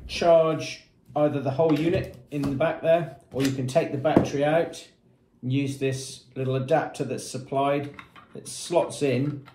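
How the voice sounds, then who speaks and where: A man in his fifties giving a matter-of-fact product demonstration, explaining features with hesitant pauses. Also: medium pitch, background noise. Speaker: product presenter for a marine shop. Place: small indoor room with painted block walls. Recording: echoey small room.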